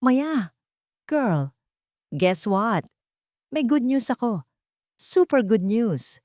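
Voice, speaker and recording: Bubbly, pushy girl best friend for audio drama, played by a female narrator, slangy and gushing, pitched up, dry sound. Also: sing-song, very slow, darkish tone, no echo, full character voice. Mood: gleeful. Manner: slangy, gushing, mixes English into Tagalog.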